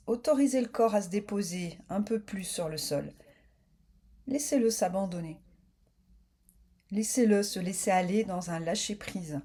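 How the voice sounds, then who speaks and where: A woman yoga nidra teacher guiding a relaxation in a calm, soothing, unhurried way, with slow spoken instructions. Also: mid-range voice, a little hiss or hum.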